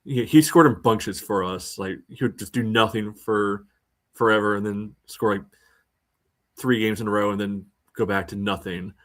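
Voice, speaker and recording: A man with a slightly low voice chats as co-host of a sports podcast. He is conversational and wry, working through his thoughts aloud as he goes. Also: steady tone, normal speed. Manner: thinks out loud, wry. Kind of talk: casual podcast chat about soccer.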